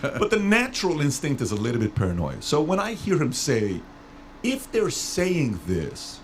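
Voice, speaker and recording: A man from Iran hosting a podcast and asking long, rambling questions. He repeats himself and slows down to stretch out words. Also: fairly high pitch, huge pitch swings, slight background noise. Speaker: podcast host. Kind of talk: casual interview talk.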